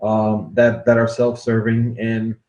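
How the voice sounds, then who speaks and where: A man hosting a podcast interview, talking in a thoughtful, halting way with many fillers and restarts. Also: lowish voice, level tone, a little mellow, lo-fi sound. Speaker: podcast host. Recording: roomy echo.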